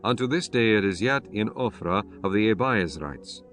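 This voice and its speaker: A man narrating dramatized scripture as an audiobook, in a solemn, measured storytelling manner. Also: lowish voice, animated, fairly bright tone, soft background music.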